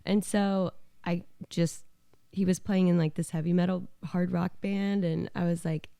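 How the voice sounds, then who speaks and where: A woman's voice: on the low side, steady tone, a little slow, creaky, dark tone, clean tone, no echo, clean studio sound. A young American woman co-hosting a podcast, chatting casually and telling stories in a laid-back, sarcastic manner. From Alabama and the southeastern US. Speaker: podcast co-host. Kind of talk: casual conversational storytelling.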